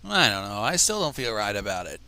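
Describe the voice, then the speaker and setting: A socially awkward young man acted by a male reader speaks up nervously in a storybook reading. He sounds hesitant and uneasy, his bright voice wavering widely in pitch. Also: big pitch swings, fairly quick, dry recording, heavily acted.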